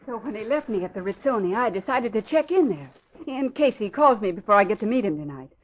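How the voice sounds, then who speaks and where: A woman's voice: medium pitch, lively intonation, fast talker, close to an everyday voice. An actress playing a plucky, coy woman reporter posing as a socialite in an old radio crime drama, teasing and arch. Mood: eager. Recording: muffled old radio recording, noisy.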